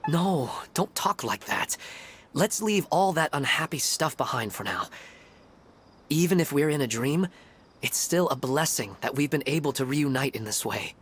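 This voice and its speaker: An actor playing a kind, earnest father and husband in a video game, gentle and sincere. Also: fairly high pitch, lively intonation, moderate pace, a little hiss or hum. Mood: tender, earnest. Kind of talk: animated video game voice acting.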